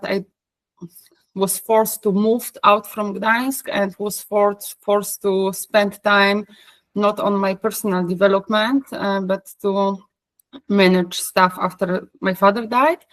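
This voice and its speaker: A woman with a Polish accent talks through her plans in a group coaching call, hesitating, restarting and filling pauses as she searches for words in her third language. Her voice sits in a middle pitch, and the sound is close and dry, with no room echo. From Poland. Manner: hesitant, many fillers and restarts. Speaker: coaching course participant. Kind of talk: group video call discussion.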